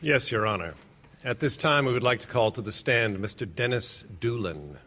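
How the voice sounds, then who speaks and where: Animated, formal government lawyer. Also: male voice, mid-range voice, normal speed, slight background noise. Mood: formal, leading. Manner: formal, emphatic courtroom delivery. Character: formal, assertive male government prosecutor. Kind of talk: radio play acting.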